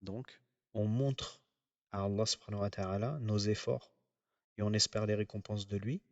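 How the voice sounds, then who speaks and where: Male religious teacher, lowish voice, steady explanatory lecture, dry sound, a bit off-mic. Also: no echo, distant mic, lo-fi sound. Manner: measured, explanatory, pauses often.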